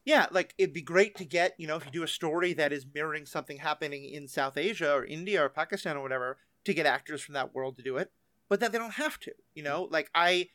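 A man's voice: a little high, fast talker, a bit bright, dry recording. A man in his forties hosting a podcast, speaking in a reflective, affirming and conversational way. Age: 40s. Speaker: podcast host. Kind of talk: thoughtful casual conversation.

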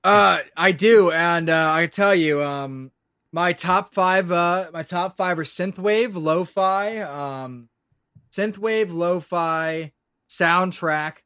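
Higher-voiced young American man, rambling podcast chat. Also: takes it slowly, bright, forceful tone, clear, resonant tone, no room sound, studio quality. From Pennsylvania, US. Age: late twenties. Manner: rambling, sweary, self-interrupting. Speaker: podcast guest, show creator and musician.

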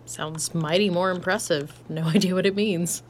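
Wry, easygoing young female techie played by an actress for audio drama; lower register, blunt, over background ambience. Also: steady tone, moderate pace, slight background noise, lightly acted. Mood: breezy, casual. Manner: casual, slangy, dryly joking. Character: laid-back, wry, blunt young hardware tech. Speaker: voice actor. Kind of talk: naturalistic audio drama dialogue.

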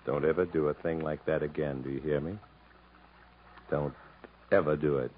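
An actor playing a determined, protective adventurer in an earnest, urgent, dramatic 1950s radio style. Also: male voice, very deep voice, steady tone, moderate pace. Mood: intense, urging. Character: determined, protective English adventurer stranded in the jungle. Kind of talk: 1950s American radio drama acting, with first-person narration. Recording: muffled, noisy 1950s radio broadcast.

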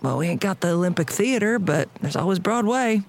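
A woman's voice: lowish voice, animated, brisk, vocal fry. An actress playing a confident, sly, folksy American businesswoman in an audio drama. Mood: playful. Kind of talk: radio drama acting.

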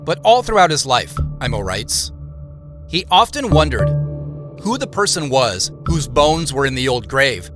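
An actor playing a storyteller, narrating an audio drama in a measured, scripted way. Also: male voice, mid-range voice, sing-song, over music. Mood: measured, mysterious. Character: storyteller recounting clues and an eerie boyhood tale.

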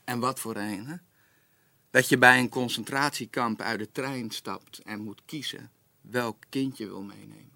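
A man's voice: mid-range voice, fairly even intonation, unhurried, vocal fry, pushed and bright, dry recording, exaggerated voice. A man playing an earnest, self-important small-time cabaret performer in a radio comedy.

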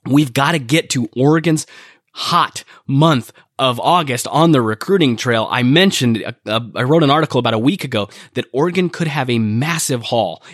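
Man, podcast host, nasal with a cold, energetic, emphatic, dry studio sound. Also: mid-range voice, dry recording. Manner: energetic, emphatic, repeats phrases for stress. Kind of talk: recruiting news podcast monologue.

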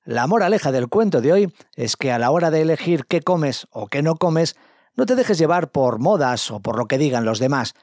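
Higher-pitched man narrating, dry studio sound. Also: darkish tone, dry recording. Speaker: narrator of a story podcast. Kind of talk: scripted narration.

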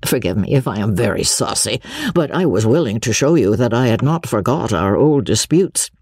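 Woman acting low, husky, wry young gentleman. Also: expressive, normal speed, dry recording, studio quality, full character voice. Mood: teasing. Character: mild, wry young gentleman, teasing and courteous.

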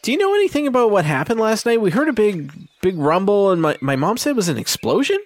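Guy doing a stammery polite teen village boy, tabletop game, voice a bit high and all over the place, birds behind. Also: sing-song, fast. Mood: anxious, curious. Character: hesitant, easygoing sixteen-year-old village boy.

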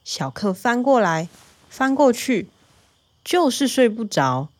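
Gentle female bedtime storyteller. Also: a little high, takes it slowly. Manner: gentle, storytelling with lively exclamations. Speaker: narrator. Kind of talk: children's bedtime story narration.

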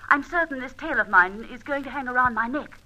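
An actress playing a composed, resourceful female accomplice in an old radio drama, speaking in a crisp, understated way. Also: high-pitched, animated, quick pace, pushed and bright, poor audio. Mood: cautious.

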